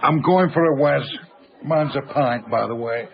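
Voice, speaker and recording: An actor playing a blunt, earthy shopkeeper in a radio drama, gruff and curt in a South Yorkshire accent. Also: male voice, medium pitch, measured pace. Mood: cocky, bossy. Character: blunt, earthy, bossy chip-shop husband. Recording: slightly dull radio broadcast sound.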